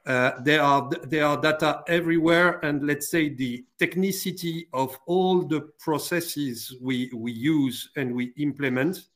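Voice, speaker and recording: A man with a fairly high-pitched voice speaks as an industry panelist in a discussion. He answers off the cuff, haltingly, repeating words as he builds each point. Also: a bit bright.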